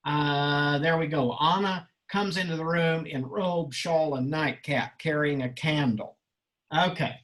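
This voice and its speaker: A man's voice: on the high side, a little slow, a bit bright. A man casually hosting a group reading, thinking aloud and encouraging the readers as he organizes parts and stage directions.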